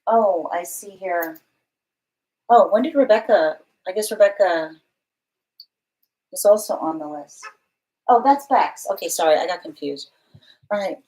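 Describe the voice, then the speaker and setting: A woman's voice: medium pitch, lively intonation, measured pace, pauses often, mellow, low-quality recording. A woman giving a live-streamed tarot reading, thinking aloud, reading viewer comments out and often restarting her phrases. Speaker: tarot reader.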